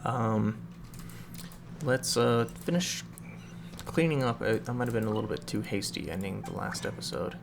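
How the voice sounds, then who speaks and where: A man's voice: fairly low pitch, moderate pace, creaky. A man doing casual live gameplay commentary as a streamer, thinking aloud. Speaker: game streamer. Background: loud game music.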